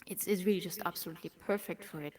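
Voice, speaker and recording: A woman speaking English with a German accent, an esports operations manager chatting in an interview, often trailing off into soft near-whispers. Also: fairly low pitch, fairly even intonation, racing. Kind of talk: casual interview chat.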